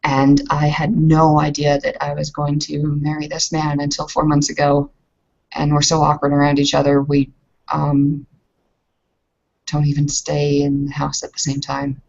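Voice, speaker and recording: A scrappy young woman, as acted by a woman at a game table speaks in a low voice. She is guarded and stubborn, halting as she explains herself. The recording is dull and lo-fi. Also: completely flat delivery, gravelly, a little mellow, full character voice. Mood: halting, resigned. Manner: halting, earnest, defensive. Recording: muffled lo-fi voice recording.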